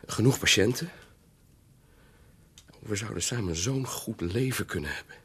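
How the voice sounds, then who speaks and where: An actor playing an earnest, lovesick young doctor in a radio drama, stammering and husky as he woos. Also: fairly low pitch, lively intonation, unhurried, fairly bright tone, exaggerated voice. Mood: nervous pleading. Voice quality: very breathy, husky.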